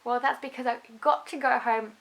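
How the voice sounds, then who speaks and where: Russian-accented woman, slightly high voice. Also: fairly even intonation, fairly quick, vocal fry, fairly bright tone. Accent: Russian, softened by a London upbringing. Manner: chatty and earnest, with filler ums and restarts. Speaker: vlogger, new mother. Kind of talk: casual talk to camera.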